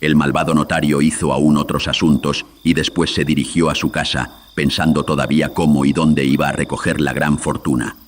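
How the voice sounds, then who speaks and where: An extremely low-voiced man narrates a horror radio drama. His delivery is flat and even, the voice filter-processed, with faint noise behind it. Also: a little fast.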